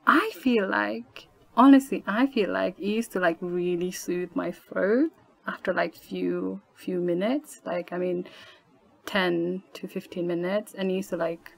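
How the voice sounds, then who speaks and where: A woman's voice: fairly low pitch, steady tone, a little slow, gravelly, poor audio. A woman in her twenties from Nepal, talking casually as a podcast guest with many fillers and frequent laughs. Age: 20s. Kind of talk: casual interview chat.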